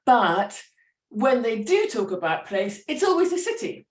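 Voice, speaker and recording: A woman, a writer and teacher, talking through her ideas with animated thoughtfulness and many restarts at a public talk. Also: a little high, unhurried. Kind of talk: conversational storytelling. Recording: roomy echo.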